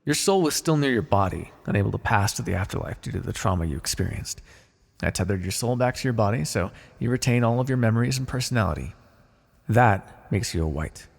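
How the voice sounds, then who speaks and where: A man playing a blunt, callous, wryly businesslike necromancer in an ASMR fantasy roleplay, muttering in a deadpan, gravelly voice. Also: on the low side, fairly quick. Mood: dry, businesslike. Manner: deadpan, muttering to himself in thought. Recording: long reverberant hall echo.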